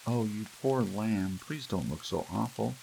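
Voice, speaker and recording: Man doing a loving wife, pitched up. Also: a little slow, vocal fry, warm tone, a little hiss or hum, poor audio. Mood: tearful, comforting. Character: loving, protective wife, nagging and reassuring.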